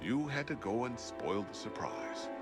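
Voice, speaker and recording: A man's voice: medium pitch, lively intonation, a little slow, music in the background, close to an everyday voice. A man voicing an older tech expert who is polite and fatherly, with dry humor. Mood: courteous. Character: older male tech expert, calm and courteous. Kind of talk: video game voice acting.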